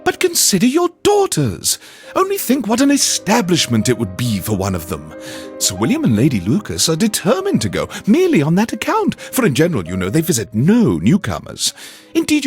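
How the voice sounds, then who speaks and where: Fussy middle-aged wife, high swooping pitch, theatrical, gushing and nagging, storybook reading, music behind. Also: male voice, wildly swooping pitch, fairly quick. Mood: pleading, nagging. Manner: theatrical, fluttery, exclamatory. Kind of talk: storybook reading in a game radio show.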